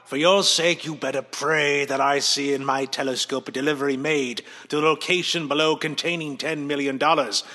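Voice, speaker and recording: A man's voice: a little high, steady tone, normal speed, few pauses, pushed and bright, in a large hall. A man playing a mad, vengeful, greedy professor villain in a superhero radio drama, theatrically ranting and gloating. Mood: menacing gloating. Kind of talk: old-time superhero radio drama acting.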